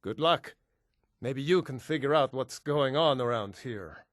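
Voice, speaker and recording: An actor playing a weary, wary seafaring trader in a video game, talking in a plain, grumbling way. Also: male voice, mid-range voice, lively intonation, unhurried, no echo, studio quality, lightly acted. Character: weary, wary male seafarer hauling cargo.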